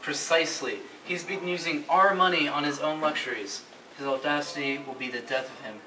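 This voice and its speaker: A man's voice: fairly high pitch, fairly even intonation, brisk, pushed and bright. A man playing a resolute, fervent French revolutionary in an amateur stage play, speaking earnestly. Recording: distant echoey amateur recording, faint noise.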